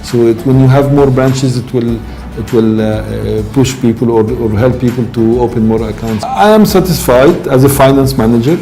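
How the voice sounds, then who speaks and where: A man gives an office testimonial through a lapel mic. His voice is dark and mellow, and he hesitates, circling back through fillers and restarts. Soft music plays underneath. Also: medium pitch, normal speed, low-quality recording. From Egypt. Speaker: company finance manager. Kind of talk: interview testimonial. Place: office indoors.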